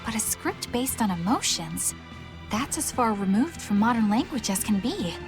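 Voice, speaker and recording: A woman's voice: a little high, sing-song, normal speed, music in the background. A woman playing an earnest, questioning young woman in dubbed video game dialogue. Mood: puzzled.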